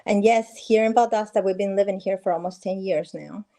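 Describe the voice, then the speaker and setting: A woman's voice: medium pitch, a little fast, warm tone. A middle-aged woman from Peru, a guest on a video call interview, talking in a friendly way with many fillers and restarts. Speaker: guest, real estate agent. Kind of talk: casual interview conversation.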